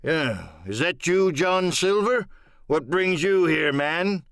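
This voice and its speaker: A man's voice: fairly high pitch, expressive, slow pace, a bit bright, no room sound, studio quality, pitched above the performer's own voice, exaggerated voice. Man playing a blunt sea captain, raised voice. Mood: gruffly frank. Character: gruff, blunt sea captain, wounded but commanding.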